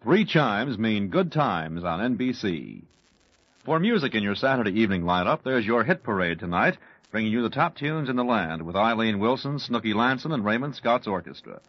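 A man's voice: lowish voice, big pitch swings, normal speed, breathy edge, dry recording. An American man working as a network radio announcer, delivering scripted promos in 1940s style. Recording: muffled vintage 1940s radio broadcast.

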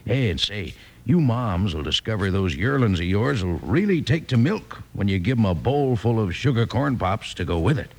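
An American man selling in a 1950s radio advertisement with a folksy cowboy drawl and a gravelly, husky voice. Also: on the low side, very animated, normal speed, hardly any pauses, a little mellow. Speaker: commercial pitchman.

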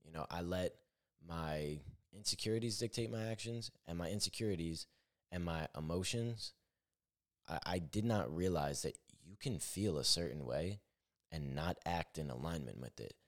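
A young man with a naturally deep voice hosting his own podcast, talking candidly in a rambling, self-correcting way. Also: lively intonation, normal speed. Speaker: podcast host. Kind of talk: solo reflective podcast monologue.

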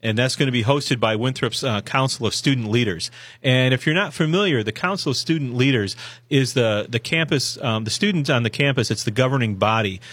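Hoarse man on college radio. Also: medium pitch, fairly quick, a bit bright, dry recording. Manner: rambling, self-correcting, folksy advice. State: hoarse from a sinus infection. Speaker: co-host of a college radio show. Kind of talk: casual radio promo talk. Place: radio studio.

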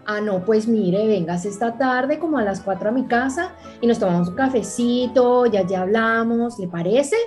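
Woman acting a helpful, friendly housewife, slightly high voice, radio soap, light music behind. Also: normal speed, hardly any pauses, a little mellow, close to an everyday voice. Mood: eager to help. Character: Hortensia, friendly, eagerly helpful policeman's wife.

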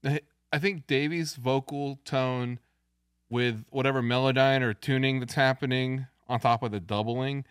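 A man with a deep voice, a podcast guest explaining guitar gear and technique in a knowledgeable, technical way. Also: fairly even intonation, normal speed, a bit bright, clear, resonant tone, no echo. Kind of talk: casual chat about music gear. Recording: dry, music playing behind.

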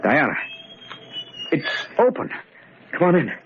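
An actor playing an earnest, polite husband turned amateur sleuth in an old-time radio drama. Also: male voice, a little high, wildly swooping pitch, a little slow, exaggerated voice. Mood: urgent. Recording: muffled, noisy old radio recording.

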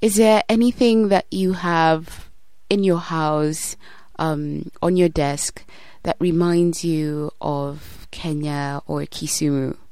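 A woman's voice: sits low, steady tone, slow, mellow. A woman hosting a podcast, interviewing her guest in a conversational way. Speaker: podcast host.

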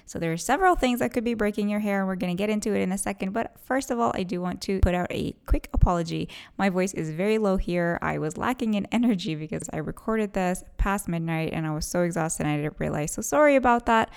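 Creaky-voiced woman giving casual advice. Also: mid-range voice, fast talker, hardly any pauses. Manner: chatty, conversational, emphatic. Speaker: podcast host and hairstylist. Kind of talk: casual solo podcast advice talk.

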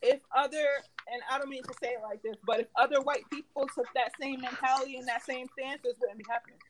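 A woman in a casual group discussion, making her points forcefully. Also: high-pitched, fairly even intonation, quick pace, slight background noise, low-quality recording.

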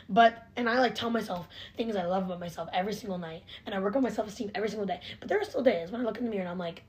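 19 yo girl chatting away, says like constantly, kinda high voice. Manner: chatty, heavy use of "like", earnest and self-reflective. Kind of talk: casual chat.

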